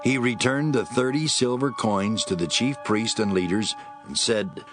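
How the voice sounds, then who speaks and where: A man's voice: medium pitch, a little slow, faint music behind. A man narrating scripture as a scripted dramatic reading.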